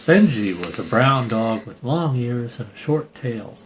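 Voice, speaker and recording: Male storybook reader, mid-pitched, casual, slight room noise. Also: expressive, a little slow. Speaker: storybook reader and channel host. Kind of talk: reading a picture book aloud with banter.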